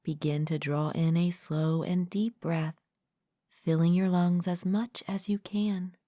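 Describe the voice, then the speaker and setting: A woman leading a guided meditation as a mindfulness coach, speaking gently and calmly in a soft, breathy, partly whispered voice, close to the mic. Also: fairly low pitch, no echo. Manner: gentle, calm, unhurried with long pauses. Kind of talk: guided meditation, soothing scripted narration.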